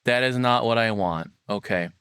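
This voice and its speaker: A man's voice: medium pitch, moderate pace, fairly bright tone, smooth, no room sound, clean studio sound. A man hosting a livestream, chatting casually to viewers in a rambling, self-deprecating way. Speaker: livestream host. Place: own room. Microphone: processed streaming microphone, close and dry.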